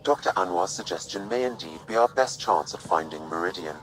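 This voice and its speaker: A man playing a calm artificial intelligence in a video game, measured, precise and formal. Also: sits low, soft background music, rough recording. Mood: calm, analytical. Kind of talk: video game cutscene acting.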